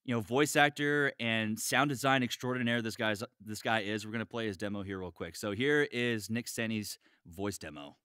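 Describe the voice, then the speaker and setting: A man's voice: fairly low pitch, normal speed, few pauses, sharp tone, smooth, dry recording, studio quality. A man chatting casually and emphatically on a podcast, often repeating his words. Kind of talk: casual chat.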